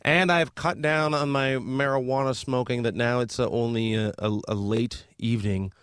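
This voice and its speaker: A man hosting a casual chat, halting with many restarts and dry jokes. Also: mid-range voice, steady tone, fairly bright tone, clean tone, dry recording. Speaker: podcast host.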